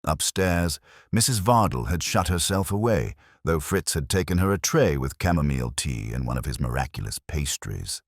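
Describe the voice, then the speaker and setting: Very deep, husky male mystery narrator. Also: expressive, normal speed, no echo, clean studio sound. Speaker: audiobook narrator. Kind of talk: scripted first-person hardboiled detective narration.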